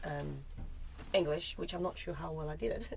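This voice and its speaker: Creaky-voiced woman with a soft Russian accent. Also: sits low, normal speed, slight background noise, rough recording. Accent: softened Russian. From Latvia, Russian family. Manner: playful, jokey, chatty. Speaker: guest, public speaker who works with children. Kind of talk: casual group conversation.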